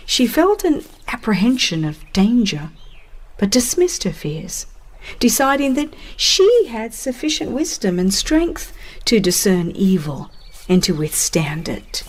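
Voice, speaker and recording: Slow, solemn woman narrator. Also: medium pitch, darkish tone. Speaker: audiobook narrator. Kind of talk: dramatized scripted religious narration.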